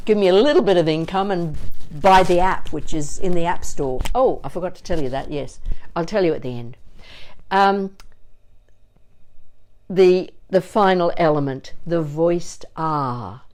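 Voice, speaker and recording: A woman's voice: on the low side, darkish tone. An older woman giving informal instruction as a voice coach, talking in a chatty, digressive, playful way. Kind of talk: informal instructional talk with demonstrated vocal exercises.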